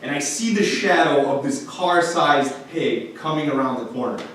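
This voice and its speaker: A man from Romania telling a childhood story on stage in a loose, halting way with frequent uh's. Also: on the high side, fairly bright tone. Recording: echoey room mic, far off, faint noise.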